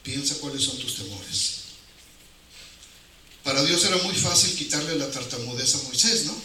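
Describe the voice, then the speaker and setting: A man's voice: medium pitch, lively intonation, normal speed, bright, forceful tone. Male preacher, Mexican accent, colloquial and emphatic with repetition; distant, heavily reverberant sound. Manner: colloquial, rhetorical questions, repeats phrases for emphasis. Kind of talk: informal evangelical sermon. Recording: very echoey hall, distant, noisy.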